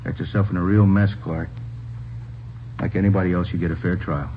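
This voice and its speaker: A man's voice: low voice, little pitch movement, a little fast. A man acting a firm, formal, by-the-book senior police officer in an old radio drama, on a tinny, hissy recording. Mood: stern.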